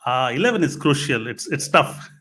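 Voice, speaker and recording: A man hosting a live interview podcast, speaking in a halting way and repeating his phrases. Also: medium pitch, very animated, sharp tone. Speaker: podcast host.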